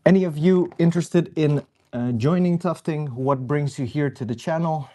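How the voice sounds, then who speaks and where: A man's voice: on the high side, normal speed, warm tone. Sniffly man chatting on a livestream. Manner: chatty, greets viewers by name. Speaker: livestream host. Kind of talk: casual live-stream chat while working.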